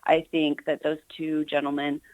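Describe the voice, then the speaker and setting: A woman's voice: low-pitched, completely flat delivery, normal speed, a little mellow, no echo, highs cut off. A middle-aged American woman giving earnest, enthusiastic answers as an author being interviewed on radio.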